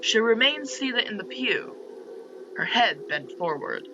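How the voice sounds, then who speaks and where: A man's voice: very high-pitched, very animated, a little slow, muffled recording, background noise. A man narrating a visual novel's text aloud, dropping in casual asides as a game host.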